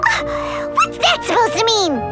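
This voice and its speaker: A woman voicing a tiny, excitable, gullible fairy companion in a video game, with exclamatory childlike delivery. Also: very high-pitched, measured pace, loud music behind the voice, heavily acted. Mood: curious. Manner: gullible, exclamatory, refers to herself in third person.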